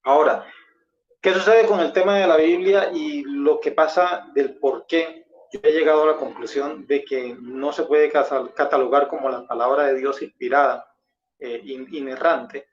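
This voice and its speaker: A man with a Colombian accent giving a measured, explanatory and conciliatory presentation in a debate. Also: on the high side, steady tone. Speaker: debate participant, former pastor. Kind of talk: expository debate talk. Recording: dull lo-fi audio.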